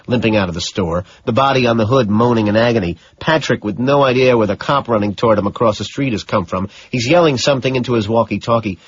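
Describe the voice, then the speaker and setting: A man's voice: on the low side, dull audio, slight background noise. A man narrating a novel as an audiobook, in a dramatic scripted reading.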